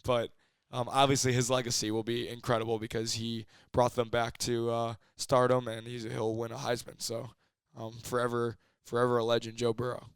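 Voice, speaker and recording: A hoarse young man co-hosting a casual podcast in an apartment, rambling with fillers and restarts. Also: mid-range voice, completely flat delivery, moderate pace, hardly any pauses, no echo. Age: young adult. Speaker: podcast co-host.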